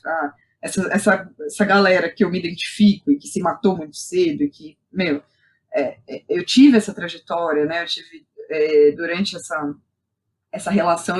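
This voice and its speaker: A woman's voice: mid-range voice, darkish tone. Young Brazilian woman telling personal stories, colloquial. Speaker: guest, playwright and theatre director. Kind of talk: casual personal storytelling in a podcast interview.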